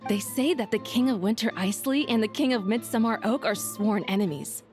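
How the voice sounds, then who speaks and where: A woman's voice: a little high, brisk, darkish tone, soft background music, lightly acted. A woman voicing a polite, curious young female pupil in a video game.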